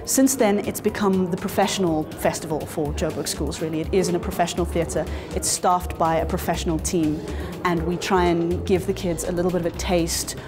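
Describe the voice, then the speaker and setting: A woman's voice: on the low side, steady tone, fairly quick, music in the background. A South African woman speaking in an interview as an organiser, articulate and explanatory. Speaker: festival organiser.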